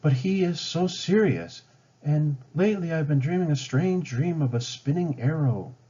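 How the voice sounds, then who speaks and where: A man voicing a gentle, curious young woman in a storybook, earnest and questioning. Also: on the high side, normal speed, slightly rough, pitched above the performer's own voice. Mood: wondering. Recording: dull lo-fi home recording.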